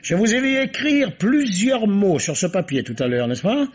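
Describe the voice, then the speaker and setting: An actor playing a shrewd, courteous, self-assured police commissioner in a formal, theatrical radio mystery style. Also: male voice, high-pitched, big pitch swings, moderate pace. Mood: smug, teasing. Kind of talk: vintage French radio mystery acting. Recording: dull, muffled old radio recording.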